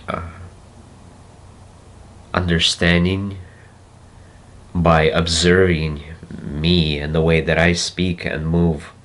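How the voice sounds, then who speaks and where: A man with a Russian accent, a native Russian speaker, talking slowly and reflectively to camera and pausing to search for words. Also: extremely low pitch. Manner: slow, deliberate, searching for words with pauses. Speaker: self-development video host. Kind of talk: slow reflective monologue to camera.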